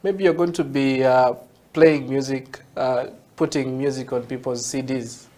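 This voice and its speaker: A man's voice: medium pitch, fairly even intonation, a little slow, darkish tone. Ugandan man patiently explaining tech. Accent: Ugandan English. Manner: patient, explanatory, many "yeah?" checks. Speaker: guest, tech entrepreneur. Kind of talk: interview answers, explaining.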